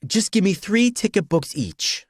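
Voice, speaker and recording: A man voicing an irritable, suspicious husband and father with a gruff, exasperated manner in an audiobook. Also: high voice, very animated, a little slow, no room sound, studio quality, voice pushed up, exaggerated voice. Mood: grudging, exasperated. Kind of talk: acted audiobook dialogue.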